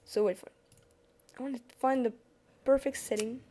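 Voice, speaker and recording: A woman's voice: high voice, fairly even intonation, normal speed, warm tone. A young woman with a French accent, chatting casually as she narrates a card game on screen, often restarting her sentences. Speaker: gaming YouTuber. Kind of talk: casual gameplay commentary over screen capture.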